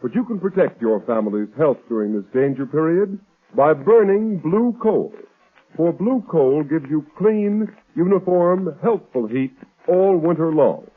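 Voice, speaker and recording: A man's voice: a little high, animated, a little slow, husky, phone-quality sound. A man announcing for a 1930s radio mystery serial in an emphatic, theatrical style on a vintage recording. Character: dramatic male sponsor announcer of a mystery serial.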